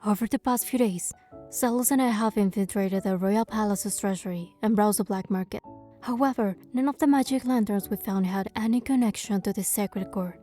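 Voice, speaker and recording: A woman's voice: medium pitch, moderate pace, dark tone, soft background music, natural voice. A woman voicing a composed, playful young noblewoman who narrates her fantasy game adventure in a fan dub. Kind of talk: first-person scripted narration and dialogue, fan dub.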